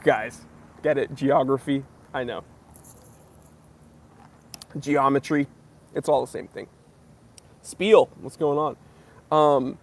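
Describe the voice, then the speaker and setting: A man's voice: on the high side, darkish tone. Chatty young man hosting a live Q&A. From United States. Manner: chatty and joking, greets viewers by name. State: slightly wheezy, recovering from a cough. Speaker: livestream host. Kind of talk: casual live Q&A chat, reading comments.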